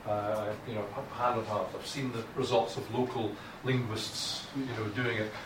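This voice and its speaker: A man's voice: fairly low pitch, little pitch movement, normal speed. A Scottish man giving a lecture on academic writing, blunt and informal, often restarting his sentences. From Scotland. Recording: echoey room from far off, noisy.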